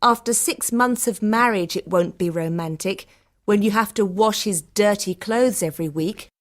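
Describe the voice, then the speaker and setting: A woman's voice: medium pitch, normal speed, close to an everyday voice. A woman playing a cynical, quarrelsome young traveller with a scornful, biting manner in acted audiobook dialogue. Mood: scornful, sarcastic.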